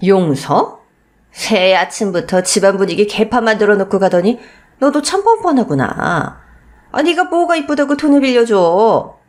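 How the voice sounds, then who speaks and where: A woman performs an elderly, wealthy mother-in-law in a radio story. She is cold and scornful, scolding with haughty rhetorical questions. Her pitch swings upward in lively, indignant arcs. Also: normal speed, natural voice. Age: older.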